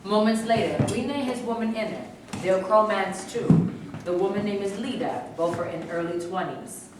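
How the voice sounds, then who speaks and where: A woman's voice: mid-range voice, normal speed, heavy background noise. Woman reading stage directions, echoey. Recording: echoey room, mic far off.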